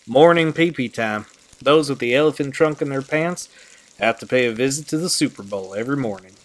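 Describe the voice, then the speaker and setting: A man's voice: medium pitch, fairly quick, natural voice. Goofy young guy with a playful streak, acted by a man. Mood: breezy, joking. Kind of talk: audio drama acting.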